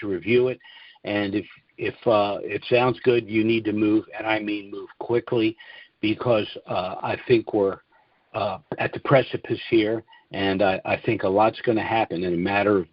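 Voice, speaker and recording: Conference call host: American man, lower register, measured informal monologue with halting pauses, dry lo-fi audio. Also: dry recording. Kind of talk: informal financial monologue to clients. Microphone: conference call line.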